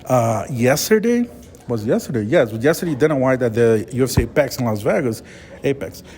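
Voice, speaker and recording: A man from Brazil with a Brazilian Portuguese accent, interviewing a fighter in English, halting as he searches for words. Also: medium pitch, lively intonation, darkish tone. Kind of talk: press interview.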